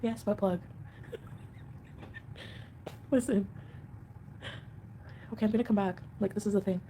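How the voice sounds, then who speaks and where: A woman's voice: mid-range voice, steady tone, takes it slowly, warm tone, a little hiss or hum. A woman hosting a casual livestream at home, chatting with viewers and answering comments in a rambling, defensive way. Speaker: livestream host.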